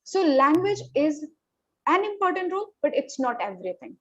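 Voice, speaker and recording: High-voiced woman, emphatic. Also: big pitch swings, moderate pace, dry recording, muffled recording. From Northeast India. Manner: emphatic, repeats words, tags "okay?". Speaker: guest, corporate professional. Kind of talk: interview answers, advice.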